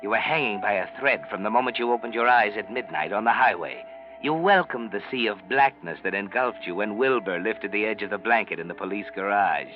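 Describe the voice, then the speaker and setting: A man's voice: on the low side, lightly acted. An actor playing an ominous, knowing narrator of a 1940s radio crime tale, brooding and taunting in his delivery. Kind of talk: 1940s radio drama narration in second person. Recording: dull 1940s radio recording with music.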